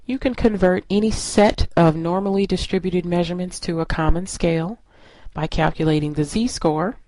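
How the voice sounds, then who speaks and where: A woman's voice: lowish voice, normal speed, mellow, no room sound, rough recording. A woman instructor narrating a lesson in an explanatory, step-by-step way. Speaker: statistics instructor.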